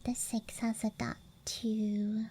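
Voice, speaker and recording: A woman doing a whispered ASMR show-and-tell, murmuring softly and breathily to the listener in an unhurried way, as the host. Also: on the high side, level tone, breathy edge. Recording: faint background noise, outdoor night ambience. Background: faint rural night ambience sounds.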